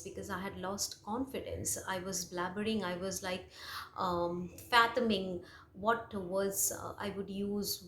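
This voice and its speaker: Reflective Indian woman in a roomy space. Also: medium pitch. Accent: Indian English. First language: Bengali. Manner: reflective, frequent fillers and self-corrections. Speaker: language vlogger. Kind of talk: personal storytelling. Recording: roomy with faint ambient noise.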